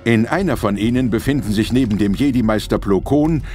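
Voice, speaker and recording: A man's voice: medium pitch, a little fast, darkish tone, faint music behind. A man reading scripted narration for an audio drama.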